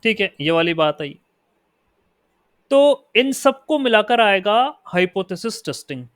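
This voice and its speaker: A man with an Indian accent teaching a statistics lesson. He explains in Hindi and reads definitions out slowly in English. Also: high-pitched, sing-song, fairly bright tone, no echo, clean studio sound. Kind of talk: lecture.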